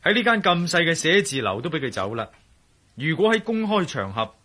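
An actor playing a shrewd, teasing adventurer in a radio drama, with a wry, colloquial manner and a Hong Kong Cantonese accent. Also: male voice, a little high, very animated, a little fast, sharp tone, exaggerated voice. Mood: calm, reassuring. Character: shrewd, teasing male adventurer, cool under pressure.

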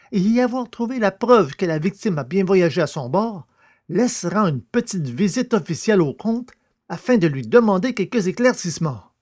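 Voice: medium pitch, darkish tone. A narrator reading a scripted episode recap for a French audio drama serial.

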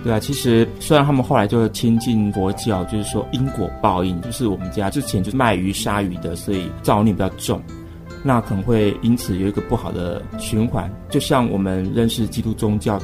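Fast-talking Taiwanese man hosting radio. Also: fairly low pitch. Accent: Taiwanese Mandarin. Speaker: radio host. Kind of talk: conversational radio discussion.

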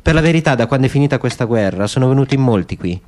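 Casting: elderly sturdy woman for radio drama, played by a man, calm, careful with words, mid male register, noisy recording. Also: mid-range voice, close to an everyday voice. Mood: calm, hesitant. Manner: slow, careful, choosing words. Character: calm, hesitant old European woman, short and sturdy. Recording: clear background noise with clicks.

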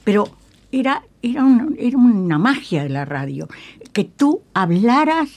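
An older woman with a Uruguayan Spanish accent, reminiscing in a radio interview as an emphatic, halting storyteller who repeats phrases and checks in with tag questions. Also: fairly high pitch, sing-song, a little mellow. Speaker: guest, veteran radio actress. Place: radio studio.

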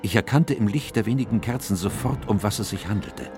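An actor playing a sober gentleman doctor in a radio detective drama, with a grave, measured way of speaking. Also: lowish voice, music in the background, close to an everyday voice. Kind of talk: radio drama narration and dialogue.

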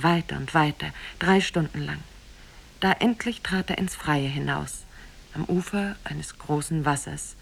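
An actress as the narrator of an Arabian fairy tale, grave and measured in 1950s radio storytelling style. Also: female voice, on the low side. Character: solemn female teller of an Arabian Nights tale. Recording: 1950s radio recording from cassette.

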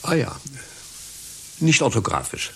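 An actor playing a fussy, officious managing director, clipped and eagerly deferential, in a satirical radio play. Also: male voice, mid-range voice, wildly swooping pitch, a little slow, gravelly, exaggerated voice. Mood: businesslike, agreeable. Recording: hissy radio play recording.

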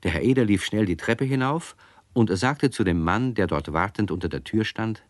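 Casting: male narrator for children's radio tales, lowish voice, lively inflection. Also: moderate pace, no echo, clean studio sound. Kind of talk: scripted children's story narration.

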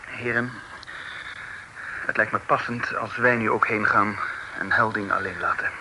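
An actor playing a composed, considerate gentleman in a vintage radio play, speaking in a measured, formal, sympathetic way. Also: on the low side, fairly even intonation, full character voice. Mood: calm, sympathetic. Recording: noisy old radio drama recording.

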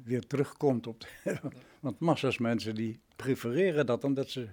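A husky-voiced man close to a hundred years old talks in an interview as a veteran radio drama director. He speaks reflectively, feeling his way through sentences, and agrees with emphasis. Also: medium pitch, moderate pace, a little mellow. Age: nineties, almost a hundred. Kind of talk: reminiscing interview conversation.

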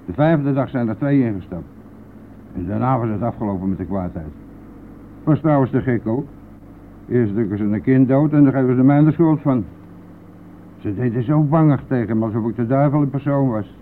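An actor playing a brash, defensive bus driver in a Dutch radio play, blustering and scoffing in colloquial speech. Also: male voice, mid-range voice, moderate pace, natural voice. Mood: callous, boastful. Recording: tinny band-limited old radio recording, noisy.

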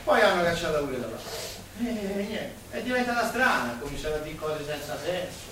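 An actor as a folksy, gossipy working-class Roman man with a high-pitched voice. He talks in emphatic dialect in a stage drama, caught from far away in a very echoey room. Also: animated, a little fast, lightly acted. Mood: confiding. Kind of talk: theatrical stage acting in dialect. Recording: distant, very echoey stage recording, faint noise.

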